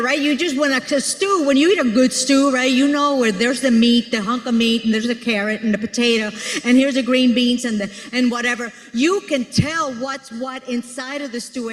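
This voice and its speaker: A woman speaks from a conference stage, her voice placed high in her range. She answers questions earnestly and unscripted, feeling her way toward each point with homely examples. Her words ring out with a long reverb through a large hall. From Puerto Rico. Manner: earnest, searching for words, builds ideas with everyday examples.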